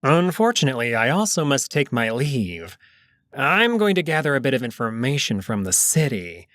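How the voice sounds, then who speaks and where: A man playing a shrewd, composed jackal schemer in a visual novel, speaking in a measured, formal, cautioning manner. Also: mid-range voice, big pitch swings, a bit bright, no echo, clean studio sound. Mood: calm, cautioning. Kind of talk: visual novel character acting.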